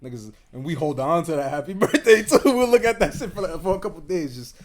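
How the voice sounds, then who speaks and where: Joking, animated man in his late twenties. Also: a little high, fast talker, crowd noise. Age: 20s. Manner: joking, animated, swears freely. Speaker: podcast co-host. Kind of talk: casual chat.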